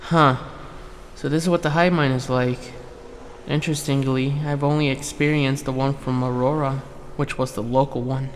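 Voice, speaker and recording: A man's voice: medium pitch, steady tone, normal speed, close to an everyday voice. Man acting as hesitant young newcomer, echoing hall. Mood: tentative, curious. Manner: hesitant, polite, subdued. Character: unsure, polite young male newcomer to a hive mind. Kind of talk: scripted fan audio drama acting. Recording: reverberant hall, faint noise.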